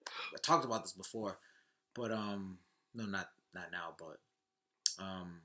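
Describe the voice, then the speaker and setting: A man chatting casually on a podcast, animated and repeating phrases for emphasis in loose back-and-forth talk. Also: low-pitched, measured pace. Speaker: podcast co-host or guest. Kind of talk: casual podcast chat about video game movies.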